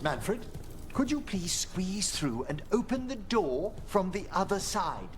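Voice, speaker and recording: An actor playing a courteous, scholarly older necromancer in a video game, formal, eloquent and easily delighted. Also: male voice, sits high, sing-song, slow pace, heavily acted. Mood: delighted, intrigued. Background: game music.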